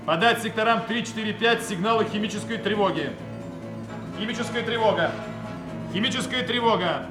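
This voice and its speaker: Actor as a measured, commanding army major. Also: male voice, high voice, over music, close to an everyday voice. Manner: crisp military orders, measured explanations. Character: commanding army major, demolition officer, stern but humane. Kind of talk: Soviet radio play acting.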